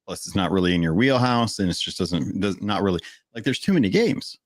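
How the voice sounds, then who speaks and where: A man co-hosting a gaming podcast in a casual chat, with a deep voice and a steady, monotone delivery. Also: fairly quick, no room sound, clean studio sound. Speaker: podcast co-host.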